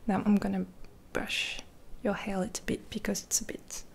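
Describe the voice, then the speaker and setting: A woman speaking in a gentle, half-whispered ASMR roleplay, rambling softly and reassuringly with pauses, close to the mic. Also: mid-range voice, flat delivery, creaky. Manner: caring, reassuring. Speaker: ASMR creator. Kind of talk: soft-spoken ASMR roleplay.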